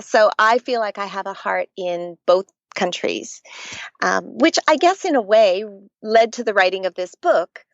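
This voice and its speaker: A middle-aged Canadian woman talks about her work in an earnest, storytelling way. Her voice sits at a medium pitch, and the recording sounds dry and a little muffled. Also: no room sound. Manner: warm storytelling, earnest and animated. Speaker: guest author. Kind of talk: conversational podcast interview.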